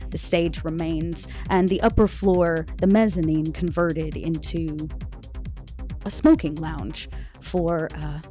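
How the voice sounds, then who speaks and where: A woman playing a tabletop game, describing a scene in a measured, composed way. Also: deep voice, steady tone, warm tone, soft background music.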